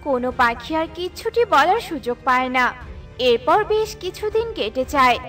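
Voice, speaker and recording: A woman's voice: high-pitched, lively intonation, normal speed, a bit bright, faint music behind. A woman narrating a scripted children's story.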